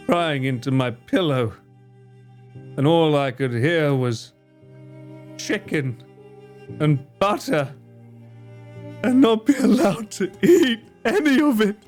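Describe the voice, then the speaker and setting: A man's voice: fairly high pitch, expressive, slow pace, long pauses, darkish tone, faint music behind. A man streaming live, chatting casually with viewers in a joking, theatrical way and swearing freely. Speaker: streamer and cameraman.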